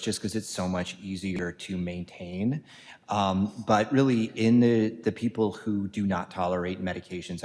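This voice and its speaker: A man's voice: deep voice, darkish tone. A man who is a physician on an expert panel, answering questions thoughtfully with frequent ums and uhs. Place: conference hall. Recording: long hall reverb.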